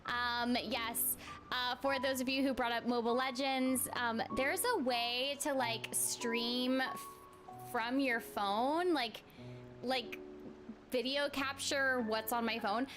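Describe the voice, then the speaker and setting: A woman's voice: on the high side, fairly even intonation, slow pace. A woman chatting casually with viewers on a game stream, self-deprecating and full of fillers. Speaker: streamer and voice actor. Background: game music.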